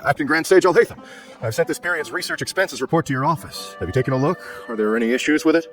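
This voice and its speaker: A man's voice: fairly high pitch, quick pace, fairly bright tone, soft background music, close to an everyday voice. An actor playing a formal, stern but fair male research office supervisor in a video game. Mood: deferential.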